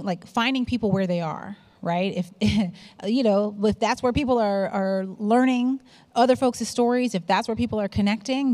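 A woman's voice: mid-range voice, darkish tone. A woman who heads a company and hosts podcasts, speaking reflectively on a panel. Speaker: company CEO and podcast host. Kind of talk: panel discussion.

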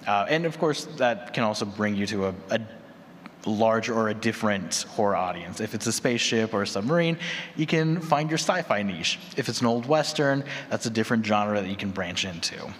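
A man's voice: on the low side, animated, a little fast. A man leading a conference presentation, explaining point by point and checking in with "right?" as he goes. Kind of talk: semi-prepared conference presentation. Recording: reverberant hall, clear background noise.

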